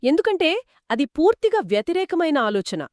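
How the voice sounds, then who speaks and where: A woman's voice: very high voice, sing-song, moderate pace, darkish tone, no echo, studio quality. A woman co-hosting a conversational discussion of a story, explaining it as a storyteller would. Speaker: podcast co-host.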